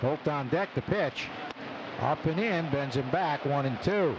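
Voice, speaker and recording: A man calling live baseball play-by-play on the radio in short, clipped phrases packed with stats between pitches. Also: measured pace, dull audio. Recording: noisy live outdoor radio broadcast. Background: loud ballpark ambience.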